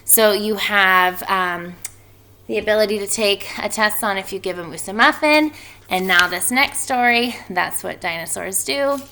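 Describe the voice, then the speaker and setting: A woman speaking as a teacher doing a storybook read-aloud for children, expressive and emphatic in her delivery. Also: medium pitch, unhurried, a little hiss or hum. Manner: animated, expressive delivery with emphatic stretched words.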